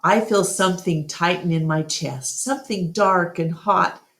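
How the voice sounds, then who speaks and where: Lady reading a kids book out loud, slightly low voice, really slow and expressive. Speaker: storytime reader. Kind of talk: expressive children's read-aloud narration.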